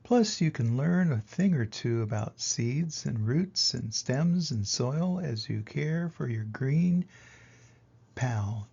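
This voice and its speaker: An older man reading a children's picture book aloud in an expressive way, with dramatic pauses and emphasis. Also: mid-range voice, creaky, breathy edge, muffled recording. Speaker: reader of children's picture books. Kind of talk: animated storybook read-aloud.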